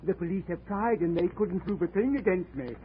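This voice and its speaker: A haughty, high-pitched male murder suspect played by an actor in an old radio drama. He speaks formally, full of smug indignation. The recording is tinny and hissy. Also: brisk, lightly acted. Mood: smug, indignant. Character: smug, paranoid murder suspect, a widower, pleading when cornered. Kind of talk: 1940s radio drama acting.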